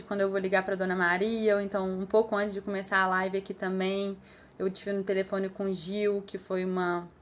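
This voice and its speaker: A young woman with a Brazilian accent speaks at an ordinary, middle pitch for a woman. She talks thoughtfully and a bit ramblingly, in a casual storytelling manner, pausing on soft fillers as she thinks aloud. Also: slight background noise. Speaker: live stream speaker.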